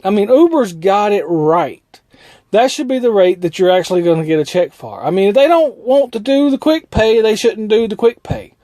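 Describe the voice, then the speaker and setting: A male truck driver with a drawl talks in a folksy, rambling, plainspoken way. His voice sits fairly high for a man. The sound is close and dry, with no room around it. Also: a little mellow, dry recording. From United States. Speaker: owner-operator truck driver. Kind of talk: casual vlog talk.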